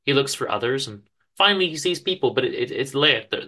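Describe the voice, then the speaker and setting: A man giving a theatrical, emphatic dramatic reading of a story, with deliberate pauses. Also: medium pitch, expressive, pushed and bright. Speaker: host reading his show's story.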